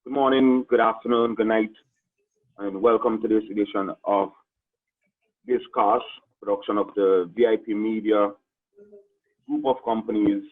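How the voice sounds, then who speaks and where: A man hosting a radio interview show, asking questions in a relaxed, chatty way. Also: fairly low pitch, moderate pace, pauses often, narrow, tinny audio.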